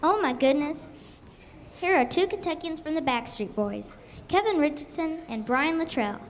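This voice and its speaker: High-pitched young performer as a female TV reporter. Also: animated, moderate pace. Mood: upbeat announcing. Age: child or young, elementary school performer. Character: bubbly female TV reporter touring the Kentucky Derby. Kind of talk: scripted school radio show reporting. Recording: noisy amateur live stage recording.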